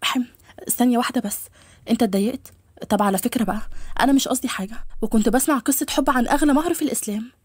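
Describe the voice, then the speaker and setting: Woman playing a prickly devout young Egyptian woman, fast-talking. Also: fairly high pitch, darkish tone, slightly rough, no room sound, clean studio sound. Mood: earnest. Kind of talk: dramatized story dialogue.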